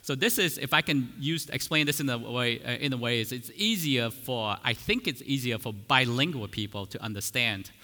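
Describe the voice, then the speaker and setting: A man's voice: medium pitch, lively intonation, normal speed, hardly any pauses, sharp tone, big-room sound. A man whose first language is Vietnamese, preaching a teaching sermon earnestly, restarting phrases and using fillers as he explains.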